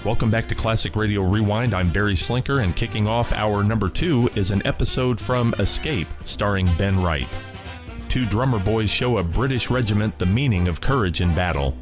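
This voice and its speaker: A man's voice: fairly low pitch, moderate pace. A man presenting a radio programme in a scripted host's delivery. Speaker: radio host. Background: music with guitar.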